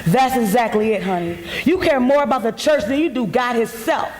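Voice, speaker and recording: An actress in a gospel stage play, playing a devout, fed-up pastor's wife with an emphatic, preachy, reproachful delivery. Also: female voice, a little high, expressive, brisk, darkish tone, slight background noise, close to an everyday voice. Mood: firm, hurt. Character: fed-up, devout pastor's wife confronting her self-righteous husband. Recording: blown-out bootleg audio, echoing theater hall.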